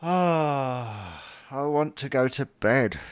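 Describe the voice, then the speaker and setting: A man's voice: mid-range voice, big pitch swings, drawn-out words, clean tone, no echo. A man playing a whiny, sulky grown-up husband who acts like a big baby in a domestic audio comedy. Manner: plaintive, babyish, sulking.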